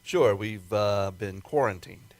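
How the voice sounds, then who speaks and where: An amateur actor playing a placating, hesitant military base official who gets excitable under pressure in a radio play. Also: male voice, fairly low pitch, slow pace, no echo.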